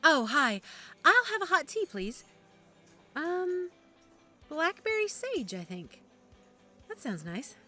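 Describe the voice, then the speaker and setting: A woman playing a nervous, hesitant, high-voiced professional. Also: sing-song, pushed and bright, faint music behind, heavily acted. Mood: polite, tentative. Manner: hesitant, halting. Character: nervous, polite female medical examiner, newcomer to supernatural. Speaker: actor in audio drama. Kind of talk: naturalistic audio drama dialogue.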